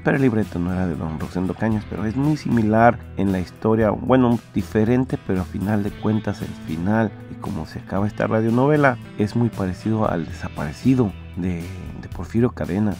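A man hosting a podcast, chatting casually to listeners in a halting, repetitive way. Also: lowish voice, expressive, mellow, soft background music. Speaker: podcast host.

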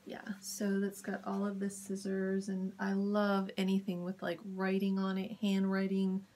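A woman casually showing fabric in her home sewing studio, her voice crackly from a cold, often dropping to a soft whisper. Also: mid-range voice, completely flat delivery, unhurried. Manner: soft-spoken, drifts into whispers when admiring fabric. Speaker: sewing vlogger. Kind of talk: casual fabric haul chat.

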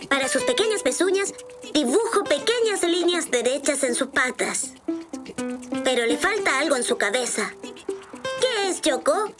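A woman voicing a cheerful little boy rabbit in a children's cartoon, clearly and kindly explaining how to draw, with music behind. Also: high-pitched. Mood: eager. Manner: clear, instructive, friendly. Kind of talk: children's cartoon dub, explaining drawing steps.

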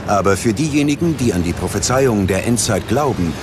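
A man narrating a scripted documentary in a dramatic, ominous and measured way. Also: on the low side, animated, background noise. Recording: music under the voice. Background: prominent background music.

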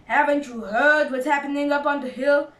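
A woman voicing an excitable, desperate father badger in a storybook, with emphatic, exclamatory, chummy old-fashioned phrasing. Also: fairly high pitch, measured pace, reverberant, recorded from a distance, close to an everyday voice. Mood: alarmed, excited.

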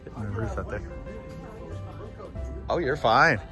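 A man's voice: on the low side, measured pace. A man filming a casual walking tour of a store, upbeat and chuckling between remarks. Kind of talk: casual chat while walking. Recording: music playing loud in the store.

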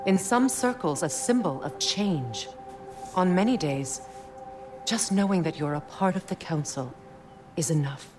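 Deep-voiced, dignified warrior leader. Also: female voice, animated, over music. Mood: earnest. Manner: sincere, measured, encouraging. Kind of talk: dramatic game acting.

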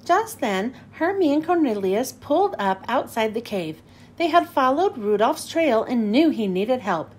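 A woman reading a children's storybook aloud in an expressive, animated, emphatic way. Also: on the high side, measured pace, husky. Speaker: children's book reader.

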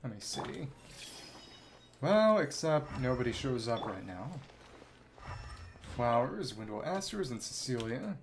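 A man's voice: medium pitch, sing-song, slow, creaky, long pauses, noisy background. A man chatting casually as he plays a game on a livestream. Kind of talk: casual livestream gameplay chat and reading along.

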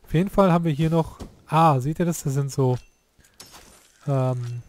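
A hoarse man giving casual, excitable commentary while playing a game, often exclaiming and swearing at what happens. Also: on the high side, normal speed. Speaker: let's play youtuber.

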